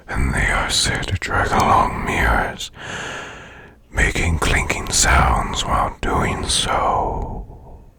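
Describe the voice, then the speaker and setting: A man voicing a demon boss who reads eerie folklore as bedtime ASMR in a slow, hushed, growling rasp with long pauses. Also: very deep voice, exaggerated voice. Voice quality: growling, gravelly, breathy rasp.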